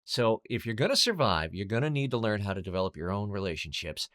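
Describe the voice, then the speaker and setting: A man hosting an instructional monologue as a business coach, speaking in an emphatic, deliberate way with rhetorical questions. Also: lowish voice, big pitch swings, fairly bright tone. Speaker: host, voiceover business coach.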